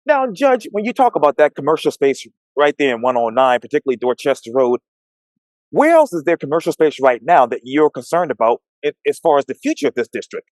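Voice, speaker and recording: A man's voice: fairly high pitch, sing-song, a little fast, dry recording. An American man hosting a one-on-one political interview, courteous and formal in how he addresses his guest. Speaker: interviewer, host.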